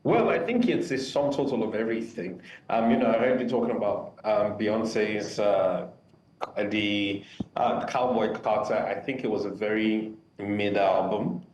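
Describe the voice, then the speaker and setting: A man's voice: fairly low pitch, a little slow, darkish tone. Hesitant male guest in an echoey room. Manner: hesitant, many fillers, opinionated. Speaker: music commentator guest. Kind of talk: opinionated discussion. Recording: roomy echo from a distance, faint noise.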